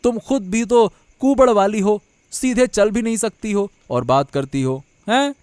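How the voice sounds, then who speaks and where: A man's voice: sits high, animated, unhurried, a little mellow, smooth, dry recording. A man voicing an earnest, devoted young husband in a cartoon, speaking emphatically and repeating himself. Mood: defiant, exasperated. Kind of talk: Hindi cartoon dialogue acting.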